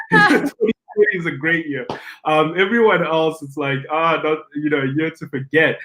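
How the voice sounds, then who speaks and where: Animated pastor telling his story. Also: on the high side, moderate pace, slight background noise, low-quality recording. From Zimbabwe. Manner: animated, acts out quoted dialogue, frequent restarts. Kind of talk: conversational podcast storytelling.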